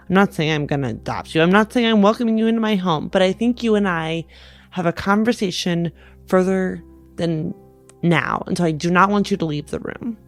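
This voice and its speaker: Casting: female tabletop player, mid-pitched with marked vocal fry, improvised in-character dialogue, light music behind.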